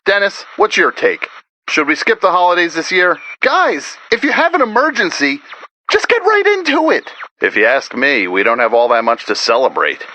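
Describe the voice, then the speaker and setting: Casting: game's survivor radio DJ, high-pitched, forceful, earnest with wry edge, urgent conversational delivery. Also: male voice, very animated, normal speed, a little hiss or hum, exaggerated voice. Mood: wry, exasperated. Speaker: radio broadcaster character. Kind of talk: video game voice acting, radio broadcast monologue.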